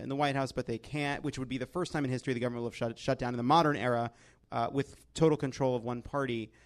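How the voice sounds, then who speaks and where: A man hosting a comedic political panel, riffing in an exasperated, profane and theatrical way. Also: mid-range voice. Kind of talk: comedic panel banter and commentary.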